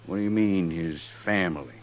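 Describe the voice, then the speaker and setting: An American actor playing a hard, self-satisfied, sharp-dealing cattle baron in an old-time radio western. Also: male voice, sits low, normal speed, lightly acted. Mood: smug, unyielding.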